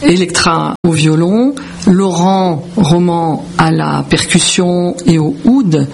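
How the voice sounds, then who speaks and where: A woman from Belgium with a creaky voice, answering as a musician in a radio interview in halting, repetitive phrases. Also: fairly low pitch, a little hiss or hum. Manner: hesitant, halting phrasing with repeated words. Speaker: musician, founding member of a band.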